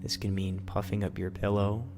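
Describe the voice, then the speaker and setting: A man leading a guided sleep meditation as host, in a soothing, gentle, instructional manner. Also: lowish voice, completely flat delivery, moderate pace, noisy background.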